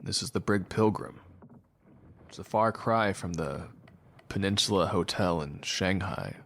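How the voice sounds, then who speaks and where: A man acting a brooding, guarded doctor in an audio drama, with a dry, terse, deadpan delivery. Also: low-pitched, measured pace, slight background noise. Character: brooding male doctor, guarded, dryly cutting.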